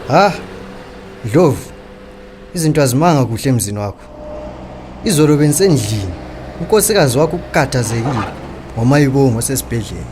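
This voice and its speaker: A man's voice: mid-range voice, expressive, quick pace, long pauses, background noise, close to an everyday voice. A man with a Zimbabwean accent playing a concerned, respectful, frank working man in a radio drama. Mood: reproachful. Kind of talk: radio drama acting in Ndebele.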